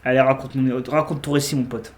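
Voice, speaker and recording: Chatty French gamer with a stuffy nose. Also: male voice, mid-range voice, level tone, brisk. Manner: chatty, joking. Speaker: gaming youtuber. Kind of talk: casual let's play commentary.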